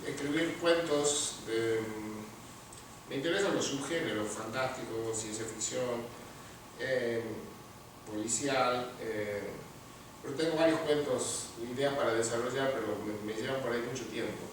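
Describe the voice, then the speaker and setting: A man with an Argentine accent, a literature teacher and writer, speaking in a measured, thoughtful way with pauses. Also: mid-range voice. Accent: Argentine Spanish. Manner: measured and thoughtful, pausing with fillers. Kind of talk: interview answers, then reading his story aloud. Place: large room at an award ceremony. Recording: echoey room, far from mic, noisy.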